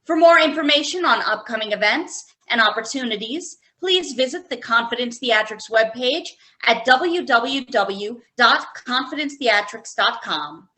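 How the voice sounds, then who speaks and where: Female announcer for theater credits: crisp forward bright tone, fairly high pitch, scripted promotional read. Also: animated, measured pace, hardly any pauses, rough recording.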